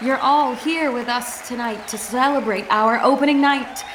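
A woman playing an effusive, theatrical Broadway director giving a gushing, grandiose speech with dramatic pauses. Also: on the high side, close to an everyday voice. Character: effusive, theatrical female Broadway director addressing an opening-night crowd. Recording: echoey stage microphone with noisy crowd.

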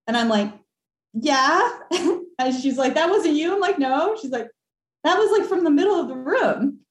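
A young woman telling a ghost story on a podcast call, animated and laughing, with lots of fillers. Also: high voice, moderate pace. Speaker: caller. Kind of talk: casual storytelling. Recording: echoey room.

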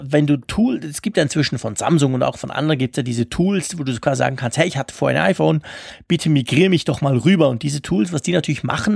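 Gravelly Swiss-accented man chatting on a podcast. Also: fairly high pitch, no echo, studio quality. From Switzerland. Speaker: podcast co-host. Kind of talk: casual tech podcast chat.

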